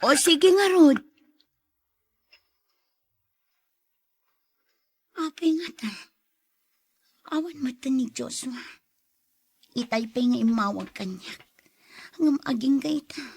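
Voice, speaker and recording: A woman acts out a devoted young bride-to-be in an audio drama. Her voice is high and husky, and she takes her words slowly, with tender, openly emotional phrasing. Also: darkish tone, heavily acted. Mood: apologetic, uneasy.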